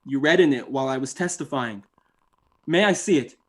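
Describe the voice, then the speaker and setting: An actor playing a proud, educated witness in an old time radio style drama, with formal and precise diction. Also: male voice, mid-range voice, brisk, lightly acted. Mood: insistent. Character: proud, indignant male newspaper witness, educated and testy.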